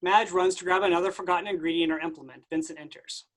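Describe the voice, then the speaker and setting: A woman's voice: fairly low pitch, steady tone, fast talker. A woman reading scripted stage directions as the narrator of a staged play reading.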